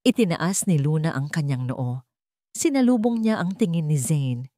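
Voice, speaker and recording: A woman's voice: low-pitched, big pitch swings, normal speed, mellow, no echo, studio quality. A woman narrating a scripted story in a dramatic style.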